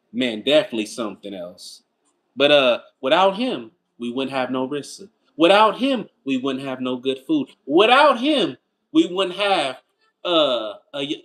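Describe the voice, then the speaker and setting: Man, 30s, livestream host: casual and slangy, reads chat, voice jumps in pitch. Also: a bit bright. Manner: loose and slangy, with rhetorical why-questions and quick pitch jumps. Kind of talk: casual livestream chat, reading viewer comments.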